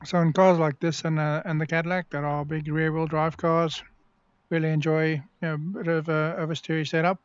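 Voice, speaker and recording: Guy on a racing stream, kinda monotone, explaining oversteer and chatting with chat. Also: on the high side, clean tone, dry recording, lo-fi sound. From South Africa. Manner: easygoing, explains car handling between pauses. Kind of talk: live-stream commentary while racing.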